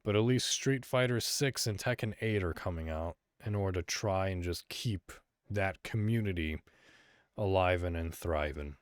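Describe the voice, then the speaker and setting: Deep-voiced American man rambling on a podcast. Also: moderate pace, clean tone, dry recording, clean studio sound. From Illinois, USA. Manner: rambling, hesitant, many fillers and restarts. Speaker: podcast host. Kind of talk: solo casual podcast monologue.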